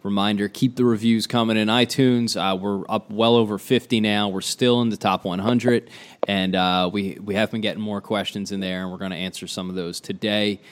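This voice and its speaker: A man hosting a casual sports podcast, tired from little sleep, with a deep morning voice and a chatty, loose way of talking. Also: steady tone, normal speed, a little hiss or hum. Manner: chatty, loose, many fillers. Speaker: podcast host.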